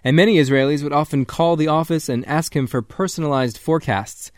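A male radio reporter reading a scripted news story in an even, clear manner. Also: a little high, a little fast, no echo, studio quality.

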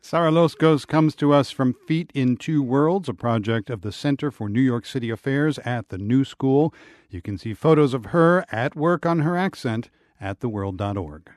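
A man hosting a radio news program, reading scripted introductions in a measured, even delivery. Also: mid-range voice, no echo, clean studio sound.

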